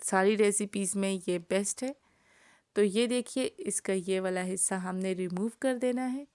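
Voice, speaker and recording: A woman's voice: medium pitch, moderate pace, a little mellow, no echo, clean studio sound. A woman with a sore throat giving a home cooking tutorial as a voice-over, explaining each step to her viewers in a friendly way. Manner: friendly instructional, addresses viewers directly. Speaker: cooking channel host. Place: home kitchen, voice-over recorded separately.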